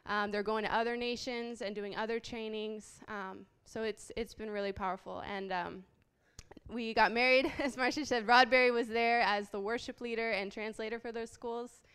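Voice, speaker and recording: A woman's voice: a little high, steady tone, normal speed, a bit bright, clean tone. A woman in her late twenties, from Haiti, telling a heartfelt personal testimony as a guest speaker, earnest and full of small ums. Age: late 20s.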